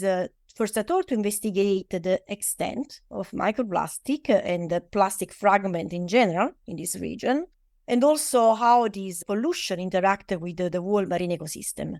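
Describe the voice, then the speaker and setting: A woman's voice: mid-range voice, a little mellow, no room sound, clean studio sound. A middle-aged woman from Italy with an Italian accent, answering interview questions as an oceanographer, with hesitant non-native phrasing and frequent fillers. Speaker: guest, oceanographer.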